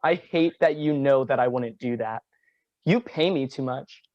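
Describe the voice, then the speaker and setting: A man's voice: mid-range voice, a little slow, a little mellow, dry recording, dull audio, lightly acted. A man reading a dry, fed-up manager. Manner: dry, exasperated. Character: quiet, practical male manager in his twenties. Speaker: also reads stage directions. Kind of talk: virtual staged play reading. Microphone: video call.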